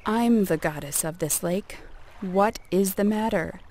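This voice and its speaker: A woman voicing a stern, composed goddess of a lake in a formal, measured storybook manner. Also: mid-range voice, a little mellow, lightly acted. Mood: calm concern.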